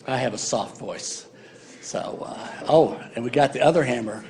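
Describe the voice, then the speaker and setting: A man's voice: mid-range voice, animated, moderate pace, crowd noise. A man giving a university embryology lecture in a casual, joking way, with many fillers. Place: lecture hall.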